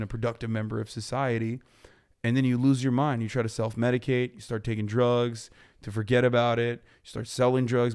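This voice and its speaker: Guy from turkey ranting about politics, swears a ton, smooth clear voice, studio mic. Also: medium pitch, a little mellow. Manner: blunt, profane, rapid explaining. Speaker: podcast guest. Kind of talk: heated political chat. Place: podcast studio with couches. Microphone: boom mic on stand.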